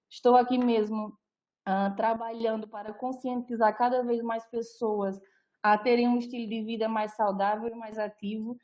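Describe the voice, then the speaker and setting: A woman's voice: on the high side, mellow, rough recording. A young adult woman with a Brazilian Portuguese accent, a nutritionist presenting an online talk in a friendly, explanatory way with frequent fillers.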